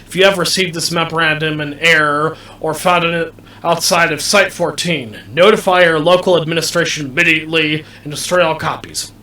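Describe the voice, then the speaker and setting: A roomy, distant recording of a man commentating a video game. His voice is bright and forceful, and he explains each step deliberately, circling back over his points. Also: on the high side, steady tone. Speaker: gamer commentating a playthrough. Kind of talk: gameplay commentary, explaining controls to viewers. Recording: roomy, distant mic, faint background noise.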